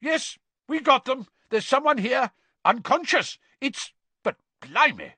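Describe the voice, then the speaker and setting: A man voicing a bold, excitable middle-ranking wizard in a storybook, confident and emphatic. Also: sits high, measured pace, breathy edge, no room sound, voice pushed up, exaggerated voice. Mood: excited shouting.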